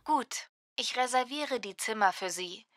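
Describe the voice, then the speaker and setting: A woman acting a courteous, helpful hotel receptionist in a scripted language-lesson dialogue, with careful, clear diction. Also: fairly high pitch, animated, a little slow, a bit bright, no room sound, studio quality.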